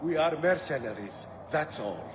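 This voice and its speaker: Casting: battered game trainer, very slow, cautioning, weary and halting, with noise behind him. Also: male voice, fairly high pitch, lively intonation. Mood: grave warning. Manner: halting, grave, warning. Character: weary, injured gladiator trainer, fearful and cautioning. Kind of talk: video game cutscene acting. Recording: echoing hall, loud background noise.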